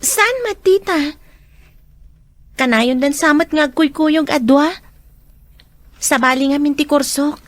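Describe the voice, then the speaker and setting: A woman's voice: high-pitched, a little slow, pauses often, no echo. A woman playing a dutiful, affectionate college-age daughter in a radio drama. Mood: earnest.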